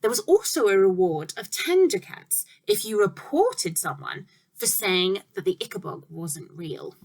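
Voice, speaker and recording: A woman reads a children's storybook aloud at a medium pitch. Her delivery is measured and expressive, with clear phrasing and deliberate emphasis on important words. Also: poor audio.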